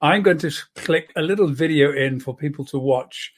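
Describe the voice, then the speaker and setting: An older woman from Britain hosts a relaxed online interview. The voice sits fairly low for a woman, and the delivery is halting, with repeated words and small chuckles. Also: slightly rough. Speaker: show host. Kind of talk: casual video interview.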